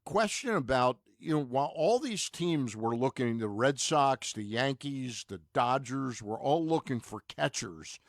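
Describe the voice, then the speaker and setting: A man with a medium-pitched voice hosts a casual sports interview. His questions come haltingly, with repeats. The sound is very dry, with no room to it. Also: animated, no room sound. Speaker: sports talk show host.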